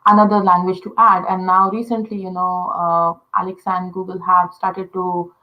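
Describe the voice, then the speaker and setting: A woman's voice: lowish voice, flat delivery, moderate pace, lo-fi sound. A woman from India with an Indian accent, a native Telugu speaker, explaining conversation design in casual talk full of fillers like "uh" and "you know".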